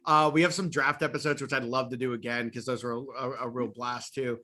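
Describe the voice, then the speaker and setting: A man's voice: mid-range voice, fairly even intonation, a little fast, bright, forceful tone. A man of about thirty, a guest on a podcast, chatting in an upbeat, rambling way full of "uh" and "like.". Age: 30s. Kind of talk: casual chat.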